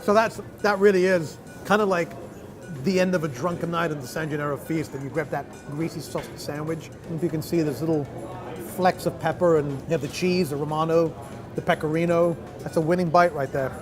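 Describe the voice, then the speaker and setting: A man's voice: on the high side, fairly even intonation, a little fast, over music. A man hosting a food show, describing dishes as he tastes them with enthusiasm. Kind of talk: food tasting commentary.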